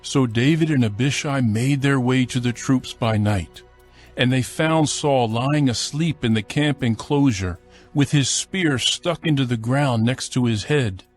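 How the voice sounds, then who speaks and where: A male narrator reads scripture in a formal, measured way. His pitch is mid-range, and music plays softly behind him.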